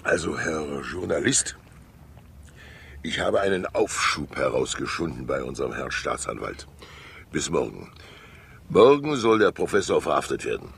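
An actor playing a fat, wily, talkative older state councillor in a 1960s radio crime drama, jovial and theatrical, rambling with asides and pauses. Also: male voice, rumbling low, sing-song, vocal fry, a bit bright, heavily acted. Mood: confiding, sly. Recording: hissy 1960s radio recording.

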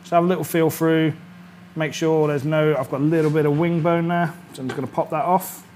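A British man in his thirties presents an informal cooking demonstration as a chef. His voice sits a little higher than average, and he explains each step in a chatty, instructional way, often checking that the listener follows. Some background noise from the kitchen set can be heard. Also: fairly even intonation, brisk, darkish tone. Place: indoor kitchen studio set.

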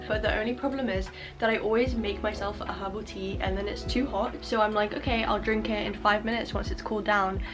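A young woman chatting to camera in a casual vlog, rambling and self-mocking, recorded in her bedroom on a handheld camera. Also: mid-range voice. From England. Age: young adult. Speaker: vlogger. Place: bedroom at home. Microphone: camera at arm's length.

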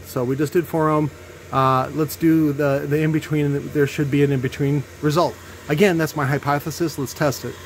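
Male workshop host, slightly high voice, halting and opinionated, off-the-cuff tech talk over faint background noise. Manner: halting, thinking aloud, opinionated. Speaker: host demonstrating speaker tests. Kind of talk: casual unscripted technical commentary. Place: indoor workshop at a cluttered bench. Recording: noisy workshop with background hum.

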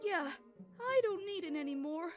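Casting: woman playing nervous little cabin boy, squeaky high, swooping pitch, servile anime dub read over music. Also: lively intonation, normal speed. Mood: timid, anxious. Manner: stammering, nervous, apologetic. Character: timid, servile young boy pirate chore boy. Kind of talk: anime-style manga dub acting. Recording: music behind the voice.

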